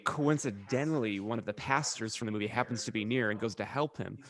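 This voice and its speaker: Lower-voiced man telling stories casually. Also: fast talker. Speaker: podcast co-host. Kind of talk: casual comedic chat, rambling anecdote.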